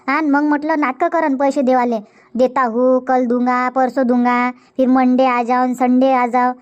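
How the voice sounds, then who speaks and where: A woman's voice: high voice, level tone, measured pace, clean tone. A woman playing a shrewd, outspoken farmer's wife in a cartoon comedy, blunt and emphatic, with a Varhadi Marathi accent from Vidarbha. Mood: scolding. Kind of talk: dubbed cartoon comedy dialogue. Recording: dull lo-fi audio.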